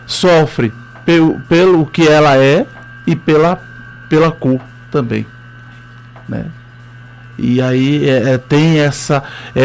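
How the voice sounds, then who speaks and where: Earnest Northeastern Brazilian teacher. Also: male voice, fairly high pitch, darkish tone. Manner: hesitant, many fillers, earnest. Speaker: history teacher, interview guest. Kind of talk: radio interview, conversational storytelling.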